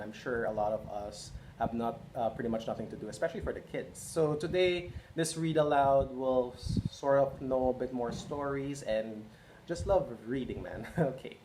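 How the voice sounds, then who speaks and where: A man in his twenties reading a storybook aloud to children, expressive, with chatty questions to his listeners. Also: medium pitch, noisy background. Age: 20s. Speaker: children's read-aloud host and early childhood educator.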